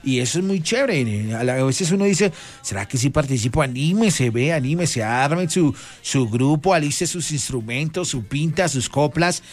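A man's voice: a little high, animated, normal speed, hardly any pauses. A man with a Colombian accent from Boyacá, hosting an informal radio interview in a chummy, colloquial way. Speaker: radio host.

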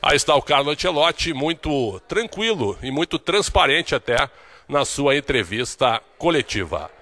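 A man's voice: on the high side, very animated, normal speed, pushed and bright, no echo. A man with a Brazilian accent delivering a live radio sports report.

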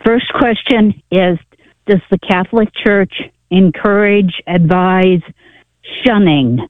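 A woman's voice at a middle pitch comes through a tinny phone line. She speaks politely and carefully, pausing between words. The sound is dry. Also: dry recording. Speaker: caller.

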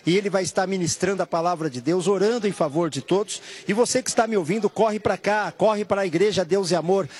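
A man's voice: high voice, husky. A man, a church elder on a live radio broadcast, giving an urgent, rousing invitation to come to church.

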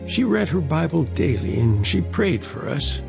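A man's voice: fairly high pitch, very animated, moderate pace, gravelly, exaggerated voice. An actor playing a devout older father, a reformed drinker, narrating his life story in an earnest, emotive way for an audio drama. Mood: wry, reflective. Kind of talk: dramatized first-person narration. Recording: music bed under the voice.

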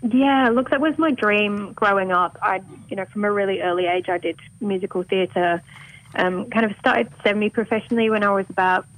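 A woman's voice: medium pitch, moderate pace, a bit bright, poor audio. Chatty woman, mild north Welsh accent. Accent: mild north Welsh, softened toward English. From Wales. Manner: chatty and open, laughs easily. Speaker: guest singer. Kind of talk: radio interview.

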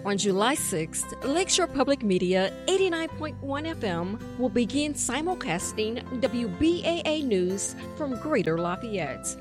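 A woman's voice: fairly high pitch, sing-song, a little slow, few pauses, over music. A woman reading a scripted public radio station announcement in an earnest, reassuring way.

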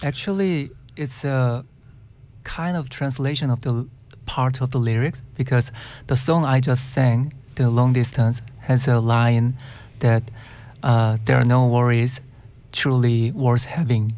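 A male singer-songwriter with a Korean accent, a native Korean speaker, talks in an interview at a very slow pace. His pitch is average for a man. He hesitates and searches for words as he answers casually. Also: steady tone, darkish tone. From South Korea. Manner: hesitant, searching for words in non-fluent English.

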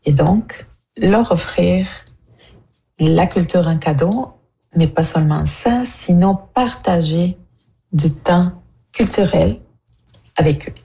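Low, slow, gravelly woman from Mexico. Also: mellow, poor audio. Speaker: radio listener leaving a voicemail. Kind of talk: earnest prepared personal message.

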